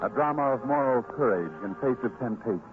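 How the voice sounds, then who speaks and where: A man narrating an old radio drama as announcer, in a solemn, formal, measured manner. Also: medium pitch, expressive. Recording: muffled old radio broadcast with music. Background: music.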